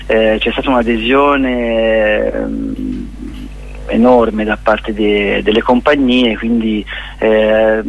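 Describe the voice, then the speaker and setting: A man answering in a radio interview as a festival director, halting and thoughtful as he searches for words. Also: mid-range voice, vocal fry, narrow, tinny audio, slight background noise.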